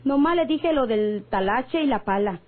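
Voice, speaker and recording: Scolding, husky Mexican ranch woman. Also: a little high, lively intonation, normal speed. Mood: worried, insistent. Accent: rural Mexican. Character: scolding, distrustful Mexican ranch woman, worried common-law wife. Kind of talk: old-time radio drama acting. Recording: muffled old radio recording.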